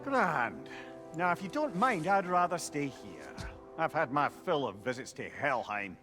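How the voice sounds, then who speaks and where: Game craftsman: high, swooping, brash and sarcastic, faint music behind. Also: sing-song, measured pace. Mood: sardonic. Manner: blunt, sarcastic, exclamatory. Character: brash, foul-mouthed, sardonic fantasy craftsman. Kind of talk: cinematic video game acting.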